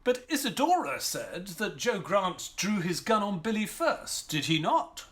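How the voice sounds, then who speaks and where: An actor playing a loyal, polite doctor who narrates and speaks in an earnest, somewhat hesitant way. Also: male voice, high-pitched, big pitch swings, a little slow, fairly bright tone, full character voice.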